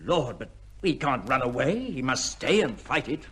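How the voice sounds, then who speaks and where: Excitable, husky gentleman. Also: mid-range voice, moderate pace, full character voice. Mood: protesting. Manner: agitated, exclamatory. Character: excitable, loyal gentleman friend of the judge. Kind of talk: old-time radio drama acting. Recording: old radio drama recording.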